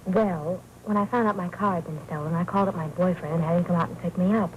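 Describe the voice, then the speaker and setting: Woman acting a low-voiced, coy noir young woman. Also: steady tone, fast talker, dull audio, close to an everyday voice. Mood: coy, smooth. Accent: American. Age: young adult. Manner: coy, teasing, pointed. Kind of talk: 1940s film noir acting. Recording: noisy 1940s film soundtrack.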